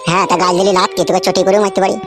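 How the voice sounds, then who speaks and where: A man's voice: sits high, level tone, very fast, over music. A man playing a crude, hot-tempered village husband in a comic cartoon, foul-mouthed, scolding and whiny when wronged. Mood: irritated scolding. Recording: noisy track with clicks.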